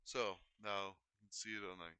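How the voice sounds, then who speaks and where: A man's voice: on the low side, flat delivery, a little fast, bright, forceful tone, smooth, dry recording. A man livestreaming in a rambling, unscripted way, often hesitating, digressing and correcting himself mid-thought. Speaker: livestream host.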